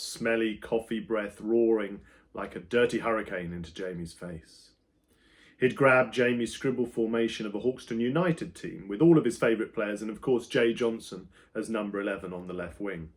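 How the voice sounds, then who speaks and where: A man reading his own children's book aloud as an expressive storyteller, with dramatic emphasis that moves between loud and hushed. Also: lowish voice.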